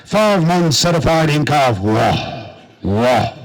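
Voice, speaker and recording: A man's voice: a little high, big pitch swings, takes it slowly, rough recording. A man with a South African Afrikaans accent working as a livestock auctioneer, calling in a repetitive, rhythmic auction style. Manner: repetitive rhythmic bid calling, switching between two languages. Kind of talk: auction chant and commentary.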